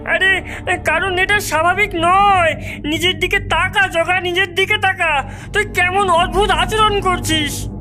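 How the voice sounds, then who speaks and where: Cautious small boy for a cartoon, female performer: very high, sharp, suspicious, protective, faint music underneath. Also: steady tone, a little slow, exaggerated voice. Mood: alarmed warning. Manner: wary, pleading, hesitant. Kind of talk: cartoon voice acting.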